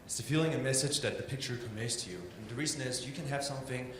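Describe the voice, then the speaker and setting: A man's voice: medium pitch, very fast. A man from Denmark with a Danish accent, a native Danish speaker, giving an informal illustration talk in a loose, chatty, self-deprecating way full of "like" and "um". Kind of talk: informal presentation. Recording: echoey hall with steady background noise.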